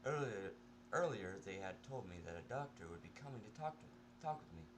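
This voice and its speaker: Low-voiced man reading a story, informally. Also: animated, a little fast, background noise. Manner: informal, stumbles over words and swears at own mistakes. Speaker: amateur fan fiction reader. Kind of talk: first-person story narration.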